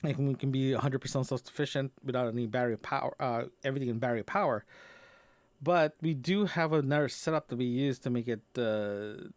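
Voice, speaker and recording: A man talks through his own podcast log in a mid-pitched voice, circling back and restarting sentences as he works out his thoughts. The sound is dry and close, with no room around it. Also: no room sound. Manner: rambling, with frequent restarts and fillers. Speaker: podcast host. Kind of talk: casual solo audio log. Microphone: dynamic microphone into portable recorder.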